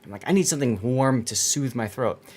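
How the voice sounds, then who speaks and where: A male streamer running a game tournament broadcast, talking loosely to his co-caster and viewers. His voice sits at a middling pitch, and he rambles along with plenty of fillers. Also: animated, a little fast, a little mellow. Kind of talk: casual stream chat.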